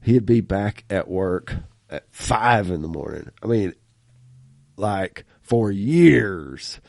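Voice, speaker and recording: A man from rural small-town America hosts a podcast, talking with a stuffy nose. His voice is mellow and dark, and he talks in a folksy, rambling, laugh-prone way. The studio sound is close and dry. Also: low-pitched, expressive, slow, gravelly, no echo. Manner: folksy, talkative, laughs often. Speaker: podcast host. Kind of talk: casual interview chat.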